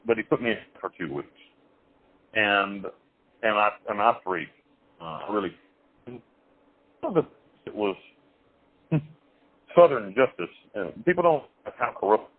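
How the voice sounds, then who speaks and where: A man being interviewed over the phone, telling his life story in a rambling, halting way with many restarts and some blunt, crude wording. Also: on the low side, lively intonation. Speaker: interview guest. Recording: tinny phone line.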